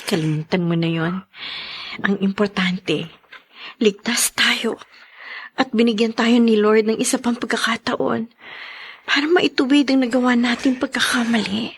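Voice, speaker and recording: A woman's voice: on the high side, steady tone, unhurried, long pauses, husky. A woman playing a forgiving, devout wife in a Filipino radio drama. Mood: consoling, earnest.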